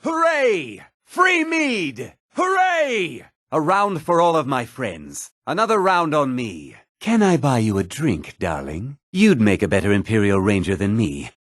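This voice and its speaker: A man's voice: mid-range voice, wildly swooping pitch, measured pace, few pauses, fairly bright tone, no echo, studio quality. A man playing a haughty, self-important townsman and merchant in a fantasy video game, speaking in a smug, theatrical, dryly condescending way. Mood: exuberant, then teasing, wry. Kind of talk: video game character dialogue.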